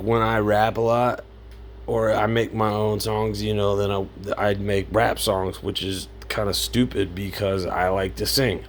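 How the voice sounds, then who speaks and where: Raspy-voiced man in his forties. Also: on the low side, a little hiss or hum. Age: 40s. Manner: rambling, loose, self-interrupting. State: raspy from singing. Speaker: vlogger. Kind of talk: unscripted casual vlog. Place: home computer setup. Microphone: home studio mic through audio interface.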